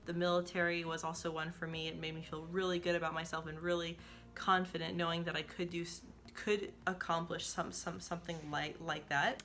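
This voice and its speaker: Young woman who stutters, bright voice. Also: on the low side. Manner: stutters, frequent repeated sounds and restarts. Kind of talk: casual talk to camera.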